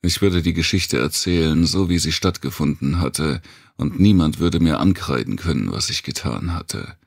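A man narrating a post-apocalyptic thriller audiobook in the first person, with a measured, deliberate delivery and dramatic pauses. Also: a bass voice, creaky, slightly rough, no echo, clean studio sound.

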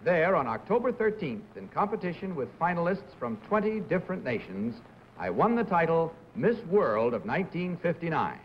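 A man hosting a 1950s television game show, genial and brisk, heard in muffled old broadcast audio. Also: fairly high pitch, big pitch swings, slight background noise. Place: TV studio.

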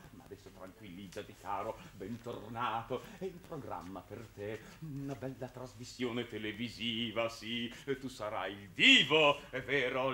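An actor playing an excitable, melodramatic middle-aged man in a 1960s television series, fussy and theatrical. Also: mid-range voice. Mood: coaxing. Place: television studio.

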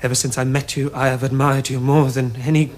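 A man's voice: mid-range voice, flat delivery, fairly quick, darkish tone, close to an everyday voice. A young man with an upper-class English accent playing an earnest, nervous Victorian suitor in a period comedy, hesitant and formal. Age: young adult. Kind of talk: period stage comedy acting.

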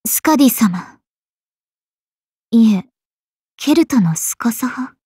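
A woman playing a calm, dutiful valkyrie in an anime game, composed and formal. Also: a little high, slow, breathy edge, no room sound, studio quality, full character voice. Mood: puzzled. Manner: composed, polite, military formality. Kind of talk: anime mobile game voice acting.

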